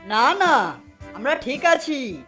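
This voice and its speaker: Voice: high-pitched, big pitch swings, takes it slowly, pushed and bright, faint music behind, exaggerated voice. An actor playing an irritable young college man who complains indignantly in a cartoon. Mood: grumbling. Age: young adult. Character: irritable young college man visiting his village home. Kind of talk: cartoon voice acting.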